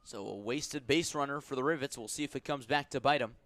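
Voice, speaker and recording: A man calling a baseball game live as a radio play-by-play announcer, with measured, descriptive delivery that lifts when the action picks up. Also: medium pitch, fairly bright tone, no room sound. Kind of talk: live sports commentary.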